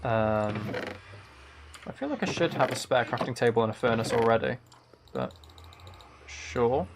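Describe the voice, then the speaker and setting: A man streams a video game in a mid-pitched voice with plenty of vocal fry. He chats casually, muttering his thoughts aloud and answering viewers. There is faint noise behind him, with clicking keys. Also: animated, unhurried. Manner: muttering to himself between replies to chat. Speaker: live streamer. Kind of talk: casual chat while playing a video game on a livestream.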